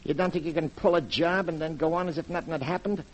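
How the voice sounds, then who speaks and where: A cold, threatening gangster from a 1940s radio play, acted out by a man, talking in clipped street slang. His voice sits a little high, and the old broadcast recording has a muffled sound. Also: fairly even intonation, fast, lightly acted. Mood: menacing. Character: menacing male gangster blackmailer, cold and threatening.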